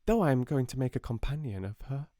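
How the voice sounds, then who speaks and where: A man voicing a fond, boyish, wistful father in a storybook, tender and gentle. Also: medium pitch, expressive, fast talker, no room sound, clean studio sound, voice pushed up, lightly acted.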